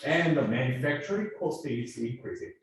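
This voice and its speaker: A man's voice: mid-range voice, animated, normal speed. A man with a Korean accent, lecturing as a business professor and pressing students with rhetorical questions. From South Korea. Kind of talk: classroom lecture. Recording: echoey classroom from far off.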